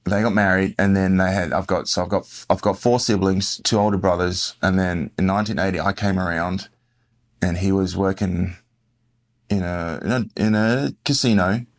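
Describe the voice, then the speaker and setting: Forties male guest, Australian accent, deep with a rough fry, loose rambling delivery. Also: steady tone, normal speed. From born in the US, raised in Western Australia. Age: 40s. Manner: rambling, self-correcting storyteller. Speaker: podcast guest. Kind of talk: casual interview talk.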